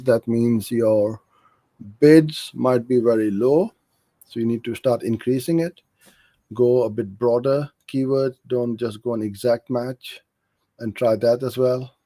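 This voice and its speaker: Low, mellow middle-aged man. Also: dry recording. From Karachi, Pakistan. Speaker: digital marketing agency owner hosting a live Q&A. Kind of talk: informal live Q&A coaching, reading out questions and answering.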